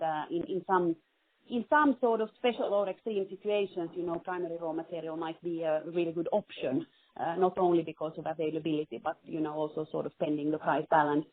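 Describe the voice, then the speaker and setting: A woman speaking as a company finance chief on a conference call, giving careful, measured and spontaneous answers in a business question-and-answer style. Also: lowish voice. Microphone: telephone. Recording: narrowband phone conference line.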